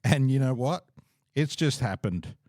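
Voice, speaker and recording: A man's voice: mid-range voice, animated, a little slow, a little mellow, no room sound, clean studio sound. A man hosting a casual podcast interview, rambling with lots of fillers and frequent laughs, talking over a call. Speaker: podcast host.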